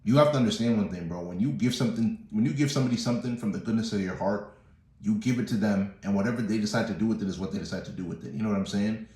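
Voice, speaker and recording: Fast-talking young man giving pep talk. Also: on the low side, warm tone. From Nigerian family, born in the US. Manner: preachy, repeats "bro" and "you know what I'm saying". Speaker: motivational vlogger. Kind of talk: casual motivational talk to camera. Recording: echoey room.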